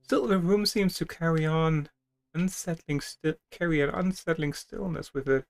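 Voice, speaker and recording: A man's voice: fairly high pitch, normal speed, vocal fry. A man playing a video game, reading its text aloud dramatically with stumbles and casual commentary. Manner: theatrical, stumbles over written text. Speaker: video game player and streamer.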